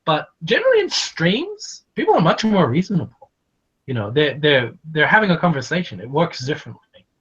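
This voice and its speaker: An Australian man whose accent carries a touch of American. His voice sits a little high and comes through dull and lo-fi. He stumbles and restarts often as he chats on a live stream. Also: big pitch swings, a little fast, a bit bright, husky. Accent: Australian, a bit of American. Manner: hesitant, many restarts and fillers. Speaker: guest. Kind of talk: casual online stream chat.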